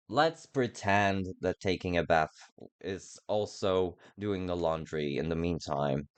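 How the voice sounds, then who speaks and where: A man doing casual, rambling gameplay commentary with playful, self-mockingly silly asides as he streams a video game. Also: low-pitched.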